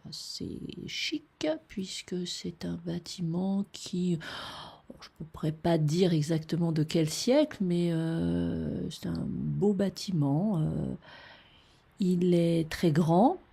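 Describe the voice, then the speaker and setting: A woman describing a photograph off the cuff, tentative and halting as she thinks aloud. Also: fairly low pitch, gravelly, dark tone, husky. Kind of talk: unscripted spoken description of a photo.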